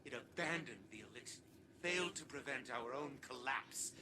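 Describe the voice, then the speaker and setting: An arrogant old genius villain in a game cutscene, acted by a man. He is pompous and self-justifying, his pitch swinging dramatically over clearly audible background effects. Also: expressive, moderate pace, noisy background, natural voice. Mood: condescending, scornful. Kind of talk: video game cutscene acting. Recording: loud ambient effects behind voice.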